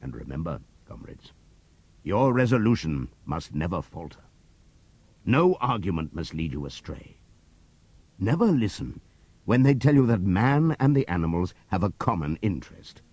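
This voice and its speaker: A man voicing an old prize boar delivering a passionate speech to the farm animals, moving between loud appeals and hushed asides. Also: low voice, husky, no room sound, full character voice. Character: old prize boar, wise, rousing orator. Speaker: audiobook reader. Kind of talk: dramatic audiobook reading.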